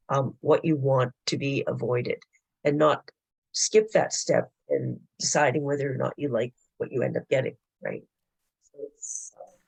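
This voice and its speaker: A hoarse man with a gravelly, husky voice talks a board through governance principles. He explains in a halting way, pausing often as he builds his points. The sound is close and dry, with no room echo. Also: mid-range voice. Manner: explanatory, halting with frequent hesitations. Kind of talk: formal presentation at a board meeting. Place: public board meeting room.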